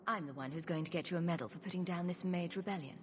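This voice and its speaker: An actress playing a wry, self-assured adventuring heroine in a video game, sardonic and glib. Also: female voice, on the low side, quick pace, a little hiss or hum, natural voice. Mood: wry, confident.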